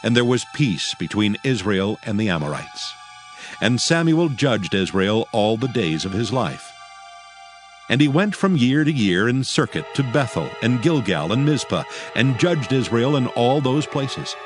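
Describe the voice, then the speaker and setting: A man narrating scripture from a script in a solemn, measured, formal manner. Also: mid-range voice, very animated. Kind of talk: scripted dramatized Bible narration. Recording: faint music behind the voice. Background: faint background music.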